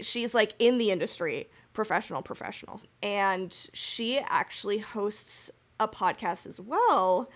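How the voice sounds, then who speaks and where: A woman co-hosting a casual fan podcast, gushing and repeating words for emphasis. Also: medium pitch. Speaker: podcast co-host.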